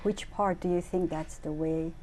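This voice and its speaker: Mellow-voiced woman asking interview questions. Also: fairly low pitch, steady tone, slight background noise. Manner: halting, non-native phrasing, brief prompts. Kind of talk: casual interview.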